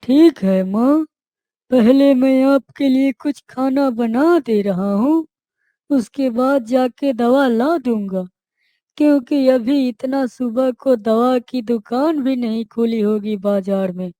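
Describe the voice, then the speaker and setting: A narrator voicing a dutiful, caring village boy in a cartoon story, speaking earnestly and gently. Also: sits high, takes it slowly, dry recording, pitched above the performer's own voice.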